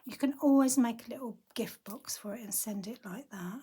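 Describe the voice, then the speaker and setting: A woman teaching a card-making tutorial in a chatty, casual way. Her voice has gone croaky, and she often murmurs half-whispered asides to herself as she works. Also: a little high, moderate pace, warm tone.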